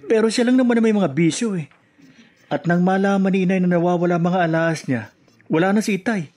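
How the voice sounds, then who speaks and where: Male actor as high-voiced indignant young son. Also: a little fast, darkish tone, close to an everyday voice. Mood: accusing, hurt. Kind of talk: Filipino radio drama acting.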